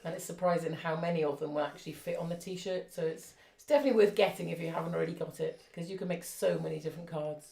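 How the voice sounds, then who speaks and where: A woman's voice: deep voice, fast, creaky, hardly any pauses, dark tone. A woman co-hosting a casual live craft show, chatting in an encouraging, appreciative way. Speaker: co-host of a craft live stream. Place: indoor craft room. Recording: echoey room.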